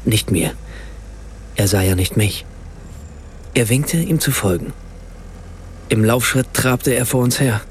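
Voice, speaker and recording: A man playing a writer who narrates a radio play in a measured, precise way. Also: medium pitch, a little hiss or hum, lightly acted. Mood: reflective, measured. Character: German writer narrating a remembered family evening in Rome.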